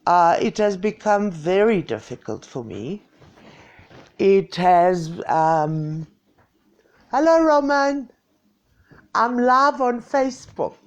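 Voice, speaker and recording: A woman's voice: medium pitch, big pitch swings, slow pace, long pauses, dull audio. A woman in her sixties with a Greek South African accent, chatting playfully and mocking herself on a home live stream. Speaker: vlogger. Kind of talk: casual live-stream chat.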